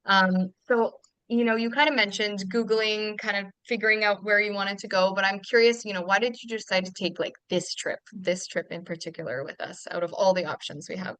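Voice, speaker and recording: Webinar host, woman, bright crisp voice, dry close mic, upbeat with fillers. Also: medium pitch, fairly even intonation, a little fast, no room sound. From Canada. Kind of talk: casual webinar hosting.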